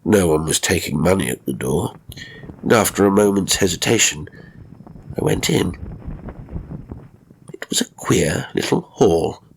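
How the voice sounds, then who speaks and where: Low, gravelly male horror story narrator. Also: lively intonation, a little slow, long pauses, slight background noise, poor audio. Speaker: audiobook narrator of strange stories. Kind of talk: scripted first-person horror story narration.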